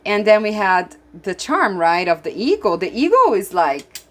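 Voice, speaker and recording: A Brazilian woman with a Brazilian accent, whose first language is Portuguese, giving a tarot reading to viewers in an excitable way, often restarting her sentences. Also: medium pitch, normal speed. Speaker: tarot reader.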